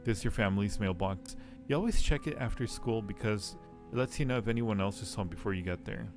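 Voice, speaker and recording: A man's voice: lowish voice, a little fast. A man live streaming a game, reading its text aloud and chatting casually with viewers as he muses. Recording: music playing behind the voice. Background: music.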